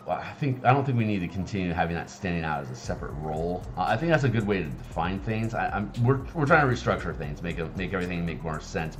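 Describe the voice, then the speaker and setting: A man with a raspy morning voice, chatting casually on a live stream and rambling with many fillers and restarts. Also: low voice. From Seattle area. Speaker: streamer and game designer.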